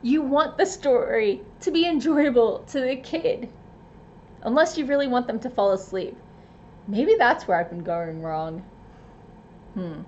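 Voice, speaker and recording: A woman reading scripted advice as a presenter, with careful, expressive emphasis and playful asides. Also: fairly high pitch, a little slow, pauses often, highs cut off, slight background noise. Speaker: writer and presenter giving tips.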